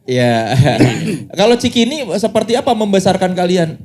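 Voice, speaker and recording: A man's voice: sits high, big pitch swings, normal speed, a little mellow. A man hosting a casual podcast interview, asking curious questions. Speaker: podcast host.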